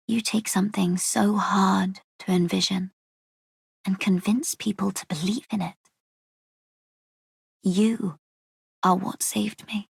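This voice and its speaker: An actress playing a solemn, grateful woman in a game, with a husky, breathy, creaky voice. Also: mid-range voice, dry recording, studio quality, heavily acted. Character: solemn, grateful woman who carries an old dream. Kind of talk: scripted game character line.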